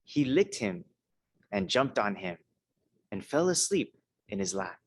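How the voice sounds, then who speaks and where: A hoarse man, a minister, giving a conversational talk and then reading a children's story, often checking in with his listeners. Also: mid-range voice, sing-song, unhurried, no echo. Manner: conversational, keeps checking in with "okay?" and "right?".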